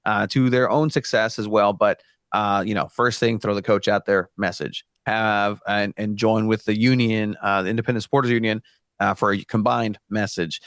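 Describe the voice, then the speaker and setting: A man's voice: on the low side, fairly even intonation, normal speed, fairly bright tone, no echo, clean studio sound. A man from Mexico, Spanish his first language, speaking earnestly as a podcast guest, with many restarts. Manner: earnest, frequent restarts and fillers. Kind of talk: casual podcast discussion.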